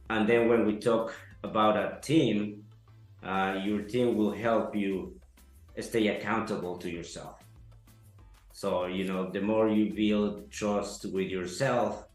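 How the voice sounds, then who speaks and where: A man from Ecuador, giving an earnest, halting testimonial interview full of fillers. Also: fairly low pitch. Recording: echoey room, soft music behind.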